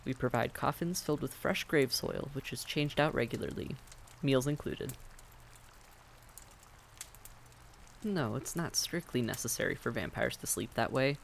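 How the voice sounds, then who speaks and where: Male actor as vampire innkeeper, gravelly fry, courteous, reassuring, fantasy audio roleplay, faint noisy ambience behind. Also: medium pitch, lightly acted. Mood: businesslike, friendly.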